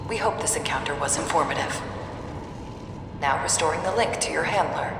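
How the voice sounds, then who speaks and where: A woman's voice: sits low, brisk, noisy background. A woman voicing a formal, detached and enigmatic informant in a video game, heard as a reverberant transmission. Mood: detached, courteous. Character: formal, enigmatic female informant, coolly courteous. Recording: long echoing reverb, loud game music. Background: loud music.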